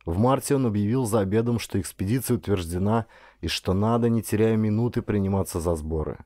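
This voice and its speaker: A man reading a first-person story as an audiobook narrator, in a dramatic, expressive way with varied intonation. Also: on the low side, a little fast, no echo, clean studio sound.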